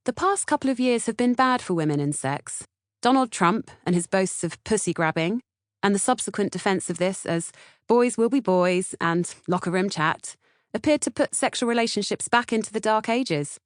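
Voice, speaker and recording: A woman's voice: medium pitch, expressive, normal speed, dry recording, studio quality. A woman with a British accent reading scripted audiobook narration. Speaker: author-narrator reading audiobook, podcast co-host.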